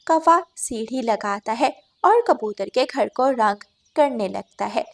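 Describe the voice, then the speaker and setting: A woman's voice: fairly high pitch, lively intonation, takes it slowly, vocal fry. A woman narrating a scripted moral story for children.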